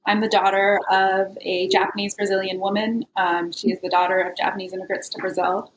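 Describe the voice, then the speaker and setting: A woman's voice: mid-range voice, level tone, a little fast. A woman guest, an assistant professor, introducing herself in a cheerful, casual way and laughing easily. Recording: roomy home recording with faint noise.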